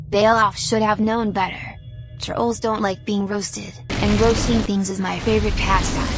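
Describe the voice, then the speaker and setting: A woman's voice: mid-range voice, close to an everyday voice. Woman playing a cocky, wry adventurer over music. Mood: smug. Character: cocky, taunting female pyromaniac adventurer. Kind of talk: fantasy game movie voice acting.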